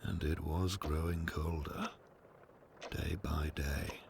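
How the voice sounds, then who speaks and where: A man with a gravelly voice reading scripted storybook narration for an audio drama in a slow, measured manner. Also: very deep voice, steady tone, background noise.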